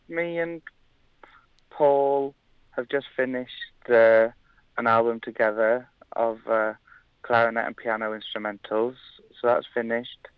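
A man from Wales talks about his music in a relaxed, halting way, full of fillers and restarts. His voice is smooth and resonant, though it comes through a narrow, tinny phone-like line. The sound is dry, with no room echo. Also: medium pitch. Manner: hesitant, many fillers and restarts. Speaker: musician guest. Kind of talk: casual interview chat.

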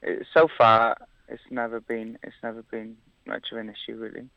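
A man's voice: medium pitch, monotone, normal speed, creaky, no room sound, dull audio. A man from Wales, a musician, chatting casually in an interview, hesitant with many fillers and restarts. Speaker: musician guest.

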